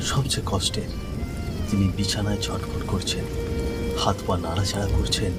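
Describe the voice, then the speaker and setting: A man narrating a scripted horror cartoon story in a suspenseful, descriptive way. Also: lowish voice.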